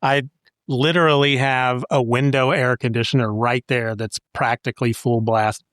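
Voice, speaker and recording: A man's voice: mid-range voice, level tone, moderate pace, fairly bright tone, dry recording, studio quality. A man co-hosting a podcast, chatting casually. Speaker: podcast co-host. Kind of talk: casual chat.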